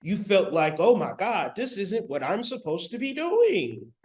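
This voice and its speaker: Man, high voice, spiritual teacher, live-stream lecture, emphatic, repetitive, colloquial, laptop mic. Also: huge pitch swings, measured pace, darkish tone. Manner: emphatic, repetitive, colloquial, talks straight to viewers.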